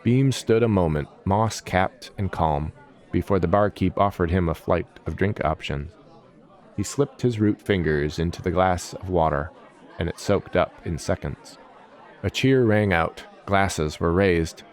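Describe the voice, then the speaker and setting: A man's voice: sits low, measured pace, a little mellow. A man giving dramatic scripted narration of a fantasy story as its storyteller.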